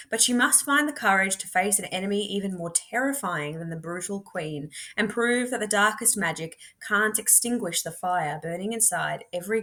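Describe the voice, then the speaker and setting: A woman reading a storybook aloud expressively, in a measured, dramatic way with deliberate pauses. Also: mid-range voice, a bit bright. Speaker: teacher reading books aloud.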